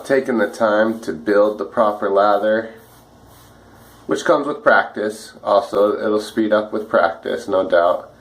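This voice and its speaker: A man with a slightly rough, dry voice, chatting casually and a bit ramblingly while he shaves at home for his vlog. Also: fairly low pitch, poor audio. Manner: easygoing, rambling, many fillers and restarts. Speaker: wet shaving vlogger. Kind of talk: casual talk while shaving.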